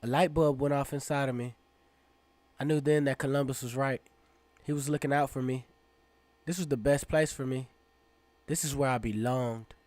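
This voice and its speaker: A man's voice: mid-range voice, fairly even intonation, moderate pace, long pauses, a little mellow, dry recording, natural voice. An actor playing an eager young student who narrates his own story earnestly in a radio drama. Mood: inspired. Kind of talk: scripted first-person radio drama narration.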